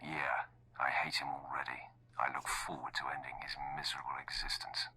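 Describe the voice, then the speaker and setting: An actor playing a cold, ruthless young Sith acolyte in a video game, with a menacing, sardonic manner. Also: male voice, very deep voice, flat delivery, fairly quick, bright, forceful tone, breathy edge, exaggerated voice, low-quality recording. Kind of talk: scripted video game dialogue.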